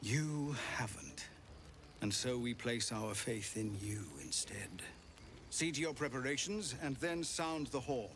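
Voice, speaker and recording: A man's voice: medium pitch, unhurried, fairly bright tone, slight background noise. A man playing an earnest, solemn leader readying a desperate defense in a video game. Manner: solemn, resolute.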